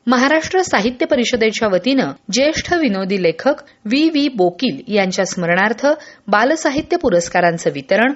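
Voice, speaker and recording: A woman newsreader with a high voice and very animated intonation delivers scripted bulletins formally and with measured phrasing. The sound is slightly dull, like a lo-fi radio broadcast. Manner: formal, measured news reading. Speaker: radio news presenter.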